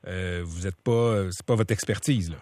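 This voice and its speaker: Radio host, dry studio sound. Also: male voice, low voice, normal speed, darkish tone, no echo. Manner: direct questioning with fillers. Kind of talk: radio interview.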